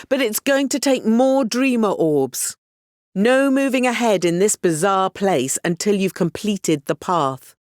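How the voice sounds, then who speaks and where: Woman acting as encouraging video game mentor lady, wry n instructive, really lively up and down delivery, dry sound. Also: mid-range voice, no echo, lightly acted. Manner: expressive, instructive, gently apologetic. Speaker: game guide character voice. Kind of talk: scripted video game character lines.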